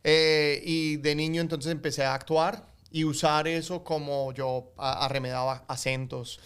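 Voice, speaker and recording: A man's voice: on the high side, measured pace, smooth. Colombian-accented man telling stories in an interview. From United States, Colombian family. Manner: animated storyteller with many fillers and restarts. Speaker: guest, actor and coffee entrepreneur. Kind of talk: casual interview storytelling in Spanish.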